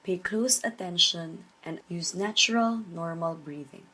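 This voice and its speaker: A woman leading a guided meditation, giving measured instructions with pauses between phrases. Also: fairly low pitch, sharp tone, breathy edge, poor audio. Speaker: meditation teacher.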